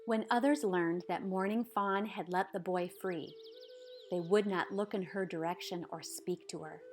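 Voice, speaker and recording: A woman reading a children's picture book aloud in a measured, dramatic storytelling manner. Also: lowish voice, music in the background. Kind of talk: expressive scripted storybook narration.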